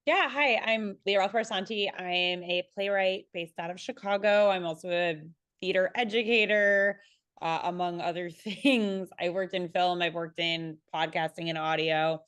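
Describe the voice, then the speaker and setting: A woman's voice: fairly low pitch, steady tone, moderate pace, fairly bright tone, clear, resonant tone, no room sound. A woman speaking conversationally as a panelist on a video call. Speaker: panelist, playwright and educator.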